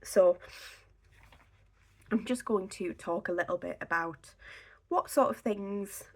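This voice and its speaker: A young woman with a slightly raspy, croaky voice, chatting casually to a camera on a tripod at home, giving pregnancy advice. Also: mid-range voice, takes it slowly. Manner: chatty, filler-heavy, reassuring. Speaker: pregnancy vlogger. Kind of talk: casual instructional talk to camera.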